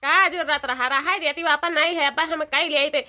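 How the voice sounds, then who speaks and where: Woman playing a bright, high-voiced old village mother-in-law. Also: steady tone, moderate pace. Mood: kindly instructing. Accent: eastern Uttar Pradesh. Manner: questioning, repetitive, instructing. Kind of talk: cartoon dialogue.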